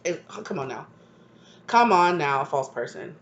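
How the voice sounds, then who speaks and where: A woman's voice: sits low, expressive, unhurried, highs cut off, slight background noise. A woman with a stuffed-up voice from a cold, giving a channeled tarot reading to her viewers with affectionate asides and repeated "right?" tags. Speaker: tarot reader.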